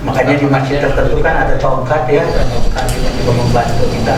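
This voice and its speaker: A man in his sixties from North Maluku, a veteran preacher training imams and Friday preachers, who repeats phrases for emphasis. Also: medium pitch. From North Maluku, Indonesia. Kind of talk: workshop talk with questions from trainees. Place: large echoey mosque hall. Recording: echoey hall, distant mic, background noise.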